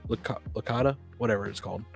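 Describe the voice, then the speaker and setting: A man's voice: fairly low pitch, rapid-fire, creaky, soft background music. A man co-hosting a casual group podcast chat, hesitant and often repeating and rephrasing himself. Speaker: podcast co-host.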